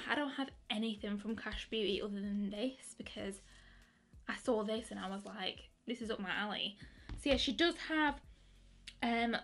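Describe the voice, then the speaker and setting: A young woman in her twenties chatting excitedly through a makeup review, with lots of fillers and restarts. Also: a little high. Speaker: beauty vlogger. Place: bedroom with colourful lighting.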